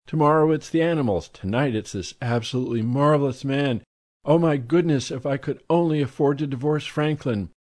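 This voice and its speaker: A man's voice: mid-range voice, brisk, darkish tone, voice pushed up, close to an everyday voice. A man voicing a pushy, gushing society woman who does volunteer work, talking in a breathless, fawning, emphatic way.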